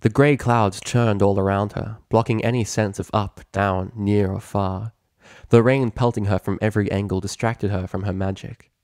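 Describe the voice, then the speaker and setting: A man's voice: on the low side, fairly even intonation, normal speed, a little mellow, no echo, clean studio sound. A man reading fiction aloud as a dramatic, scripted story narrator.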